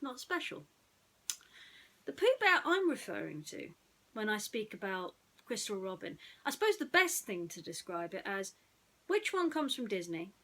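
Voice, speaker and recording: A woman's voice: mid-range voice, very animated, moderate pace, bright, forceful tone. A woman storyteller narrating a bedtime story in a chatty way, putting questions to her listeners. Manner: addresses listeners with rhetorical questions.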